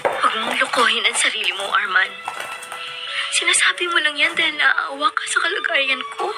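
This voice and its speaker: A woman's voice: sits high, normal speed. An actress playing a bitter, proud mother rejecting her old lover in an emotional Filipino radio drama. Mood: bitter, pained. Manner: emotional, reproachful, melodramatic. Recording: radio drama over loud music.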